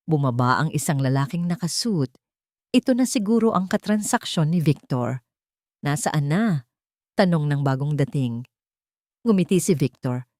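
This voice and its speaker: A woman's voice: deep voice, darkish tone, no room sound, clean studio sound. A woman reading dramatic scripted narration for an audio drama.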